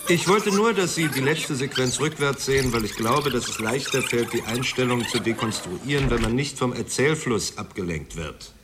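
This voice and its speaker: A man's voice: medium pitch, moderate pace, few pauses, very noisy, close to an everyday voice. A man playing a vain, condescending film director in a radio drama, speaking with self-importance. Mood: self-important. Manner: pompous, condescending. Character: vain, pompous film director lecturing at a college.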